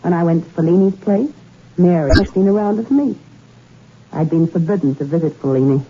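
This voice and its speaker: A bitter, self-loathing rich young woman who has killed , played by an actress, her voice slightly low. She confesses coolly in 1960s radio drama style. The old broadcast is muffled, with faint hiss. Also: fairly quick, natural voice. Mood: cool confession. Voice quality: cool, confessional, then anguished.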